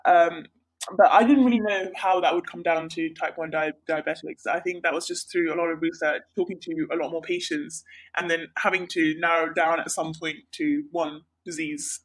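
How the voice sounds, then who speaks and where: A young woman from Sri Lanka, a healthcare researcher, answering interview questions in a bright, chatty way with many pauses and restarts. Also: sits low, steady tone, rough recording. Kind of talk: casual interview.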